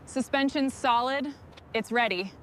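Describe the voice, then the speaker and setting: A woman's voice: sits high, a little slow, background noise. A woman giving short work remarks as part of a truck restoration team.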